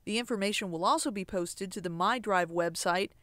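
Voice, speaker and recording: A woman reading a scripted voice-over for a public information film in a measured, informative way. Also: mid-range voice, a bit bright, no echo. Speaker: narrator.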